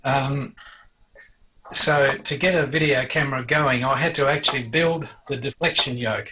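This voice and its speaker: A man's voice: mid-range voice, fairly even intonation, normal speed, a little hiss or hum. Older Australian man, dull lo-fi sound. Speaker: amateur television enthusiast recounting the past. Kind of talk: unscripted personal reminiscence.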